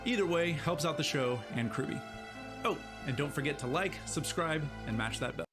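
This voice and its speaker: A man's voice: a little high, expressive, normal speed. A man reading a scripted promotional outro as a show's announcer. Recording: music bed behind the voice.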